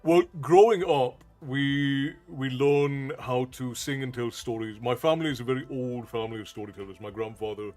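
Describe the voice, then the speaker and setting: A man's voice: medium pitch, moderate pace, few pauses, darkish tone. Brief: man in his forties, Americanized Southern Irish accent, folksy stream host with faint music behind. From Ireland. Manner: folksy, thanks chat by name, calls viewers "love". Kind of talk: casual livestream chat.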